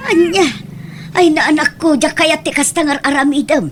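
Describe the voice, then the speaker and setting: A woman's voice: sits high, fairly even intonation, normal speed, a little hiss or hum, natural voice. A woman playing a nagging, exasperated mother in an Ilocano comedy radio drama, scolding and exclaiming.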